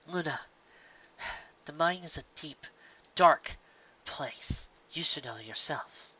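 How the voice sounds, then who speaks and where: A reader voicing a calm, wise elder pony princess with a grave, measured delivery and few words. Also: mid-range voice, husky, dull audio, exaggerated voice.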